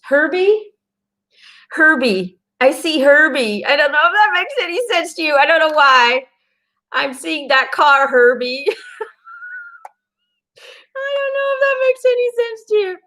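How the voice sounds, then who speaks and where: Woman, Belgian accent, native Dutch speaker, slightly high voice, earnest, encouraging, casual card reading, lots of fillers. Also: lively intonation, a little slow, pauses often, pushed and bright. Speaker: intuitive card reader.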